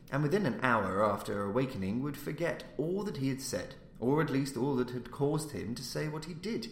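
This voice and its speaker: A man reading classic horror fiction aloud as scripted audiobook narration, in a measured, formal storytelling manner. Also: mid-range voice. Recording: roomy, echoey indoor sound.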